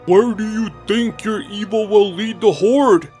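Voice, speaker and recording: A man's voice: sits high, a little slow, darkish tone, faint music behind, voice pushed up. A man voicing a huge horned bull-man warrior, noble and anguished, with impassioned, emphatic delivery in a fantasy story. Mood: pleading.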